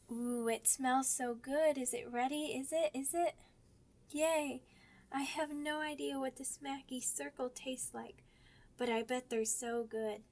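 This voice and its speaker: A woman voicing a sweet, timid young werewolf pup in an ASMR roleplay, childlike and halting, stammering over simple words. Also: high-pitched. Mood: cheerful, eager. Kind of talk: ASMR roleplay audio drama.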